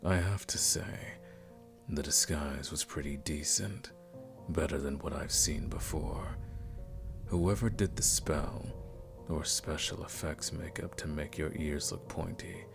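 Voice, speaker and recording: A man playing a suave, predatory aristocratic vampire in an intimate ASMR roleplay, speaking formally and seductively with a teasing menace and breathy drops toward a whisper. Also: a bass voice, fairly even intonation, takes it slowly, music in the background, exaggerated voice. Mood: menacing, playful.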